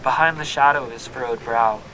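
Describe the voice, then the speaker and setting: An actor playing a wry, deadpan storyteller narrating a fantasy audio drama in formal wording. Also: male voice, a little fast, lightly acted. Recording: clear background noise and rumble.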